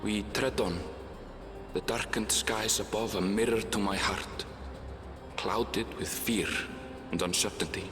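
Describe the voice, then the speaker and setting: A troubled, brooding man from a fantasy game, played by an actor. His voice is fairly low and unhurried, with slow, grave phrasing. He sounds solemn and weighed down, in a dramatic cutscene style. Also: fairly even intonation, music in the background, natural voice. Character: somber, troubled man returning to his ruined homeland.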